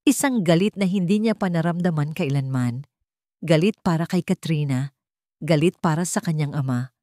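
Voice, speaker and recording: A woman narrating a scripted drama as a storyteller, with measured, dramatic phrasing and pauses. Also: low voice, dark tone, dry recording, clean studio sound.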